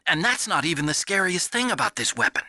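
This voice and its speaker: An actor playing a nerdy, excitable computer hacker in a video game, earnest and eager as he explains things. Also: sits high, quick pace, no echo, studio quality, heavily acted. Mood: urgent, explanatory. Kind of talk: dramatic video game voice acting.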